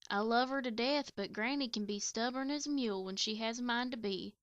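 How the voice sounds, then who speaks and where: Girl doing a country farm pony, high voice, folksy and easygoing, bit muffled mic, radio play. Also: a little fast, a bit bright, no echo, close to an everyday voice. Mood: frank. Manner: plainspoken, rustic phrasing. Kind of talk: amateur audio drama.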